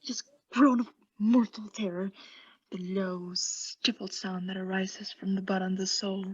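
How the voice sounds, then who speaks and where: A woman playing an obsessed mad murderer in a theatrical radio play, confessing intensely in hushed whispers and frantic outbursts. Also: mid-range voice, level tone, moderate pace, breathy edge, lo-fi sound, natural voice. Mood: haunted, intense.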